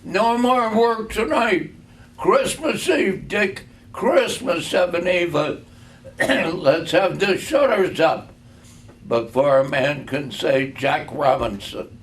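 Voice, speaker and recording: A man's voice: high-pitched, takes it slowly, pitched above the performer's own voice. A man voicing a jolly, fat old merchant in a storybook reading, calling out in a hearty, jovial, exuberant way. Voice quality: oily, rich, jovial.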